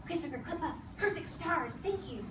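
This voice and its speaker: A woman teaching and reading aloud to young children, giving firm short directions and deliberately repeating key phrases. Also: extremely high pitch, lively intonation. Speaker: elementary school teacher. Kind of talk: classroom read-aloud and instruction to young children. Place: classroom full of seated children. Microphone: distant camera across the room. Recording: echoey, noisy classroom recorded from far off.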